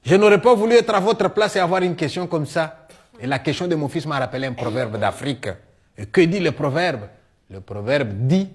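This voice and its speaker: A middle-aged man with a Congolese French accent tells comic stories in a one-man show, acting out dialogue in an animated way. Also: mid-range voice, husky. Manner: animated storyteller, acting out dialogue, punctuating with "hein". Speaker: stage storyteller and comedian.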